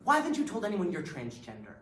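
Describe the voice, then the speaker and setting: A woman's voice: fairly low pitch, wildly swooping pitch, fast, a bit bright. A woman acting in a readers theatre piece with rehearsed, theatrical delivery. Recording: roomy stage recording with background hiss.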